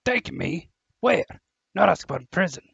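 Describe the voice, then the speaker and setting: A man playing a gruff but soft-hearted gamekeeper in an audio drama, gravelly and husky, flustered and halting. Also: high voice, big pitch swings, no echo, lo-fi sound, full character voice. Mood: frightened, pleading. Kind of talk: fan audio drama acting.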